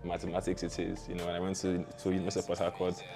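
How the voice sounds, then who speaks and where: A man's voice: deep voice, completely flat delivery, racing, creaky, music in the background. A young man with a Nigerian accent, telling stories casually and with informal slang as an interview guest. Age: young adult. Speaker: guest, tech founder. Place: small curtained indoor studio, seated.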